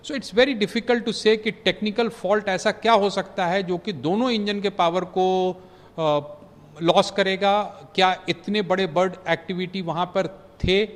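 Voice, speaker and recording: A man with a fairly high voice speaks slowly and deliberately as a former pilot explaining a news story. He pauses often, filling the gaps with hesitations, and his voice carries a long reverb as if in a large room. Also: steady tone. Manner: measured, halting, many fillers, mixes English phrases. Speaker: former pilot giving expert analysis. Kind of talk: news commentary interview.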